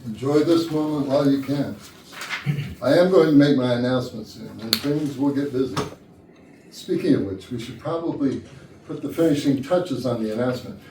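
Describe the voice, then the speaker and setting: A man's voice: mid-range voice, normal speed, natural voice. Man acting a hesitant office boss in a distant, echoey room. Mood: confiding. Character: flustered man boss hosting office Christmas party, trying to keep order. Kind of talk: staged reading of murder mystery comedy. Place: indoor rehearsal room, mic at a distance. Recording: roomy distant rehearsal recording, faint noise.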